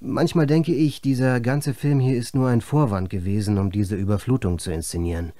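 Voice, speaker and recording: An actor playing a film director's baffled, subdued assistant, answering earnestly and hesitantly as an interviewee in a radio play. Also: male voice, medium pitch, fairly even intonation, moderate pace, dark tone, no room sound, natural voice. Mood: troubled, resigned.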